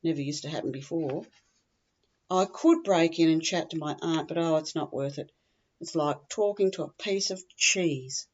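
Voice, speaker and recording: Low-voiced, gossipy Australian woman acting a scatterbrained chatterbox. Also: moderate pace, lo-fi sound. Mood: gossipy, tactless. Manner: rambling, gossipy, blithely blunt. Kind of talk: humorous monologue, performed reading.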